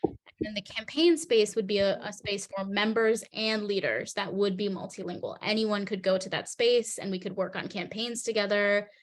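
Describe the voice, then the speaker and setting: A woman's voice: medium pitch, steady tone, normal speed. Woman facilitator, searching for words. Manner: thoughtful, searching for words, affirming. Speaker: meeting facilitator. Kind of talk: facilitating a group discussion.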